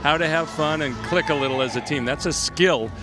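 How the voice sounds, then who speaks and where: Brief: male analyst, easygoing explainer, slightly high voice, loud music bed under the arena broadcast. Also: fairly quick. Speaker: color commentator. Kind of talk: sports broadcast commentary. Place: indoor arena courtside. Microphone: handheld mic.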